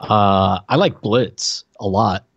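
A man's voice: lowish voice, slow pace, dry recording. A man co-hosting a casual game review show with teasing banter, on a slightly dull microphone. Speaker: podcast co-host.

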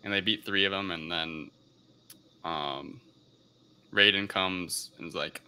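A man hosting a podcast, talking casually through a film review in a halting, rambling way. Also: low voice, pushed and bright, clean tone. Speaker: podcast host.

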